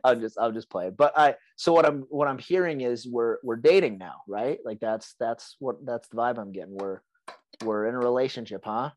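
A young man with a raspy, hoarse voice from being sick, hosting a casual podcast chat and rambling with lots of "like" and restarts. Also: mid-range voice, normal speed, no echo. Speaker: podcast host.

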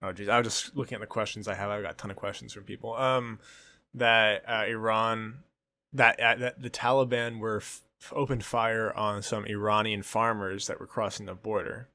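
A man with a lowish but bright, forward voice co-hosts a casual podcast. He rambles, drifts off mid-sentence and picks the thread back up. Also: level tone, normal speed, no room sound. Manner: rambling, trails off and restarts. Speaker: podcast co-host.

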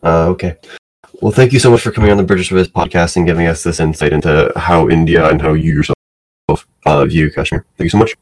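A man hosts a podcast, asking questions haltingly with many "uh" and "um". His voice is very deep. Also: steady tone. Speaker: podcast host. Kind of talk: interviewing.

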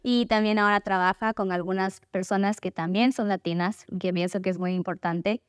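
A woman's voice: medium pitch, steady tone, moderate pace, clean tone, no room sound, clean studio sound. A woman with an Ecuadorian accent hosting a podcast in a conversational style. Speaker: podcast host.

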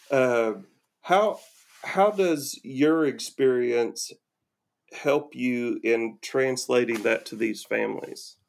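A man hosting a podcast interview, speaking in an unhurried way with halting restarts. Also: mid-range voice. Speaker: podcast host. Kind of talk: conversational interview.

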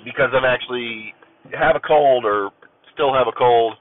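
A man from Ohio hosting his own podcast, rambling casually with many ums, stuffy and clearing his throat as if getting a cold. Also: mid-range voice, moderate pace, slight background noise. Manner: rambling, many ums and uhs, self-deprecating. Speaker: podcast host. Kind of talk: casual solo podcast chat. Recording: tinny phone-like audio.

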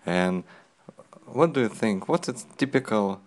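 A native Russian man speaks accented English in a low voice. His delivery is halting as he searches for words, asking and answering in a casual interview conversation. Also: lively intonation. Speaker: interviewer and language learner.

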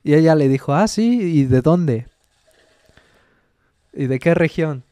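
A young Mexican man with a slightly high voice talks casually into a close mic. His Mexican accent is plain, and he acts out quoted people in lively storytelling. The sound is dry, with no room around it. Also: slow pace, dry recording. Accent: Mexican Spanish. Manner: chatty storyteller, acts out quoted voices, says "mano" a lot. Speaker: podcast co-host. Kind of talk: casual chat.

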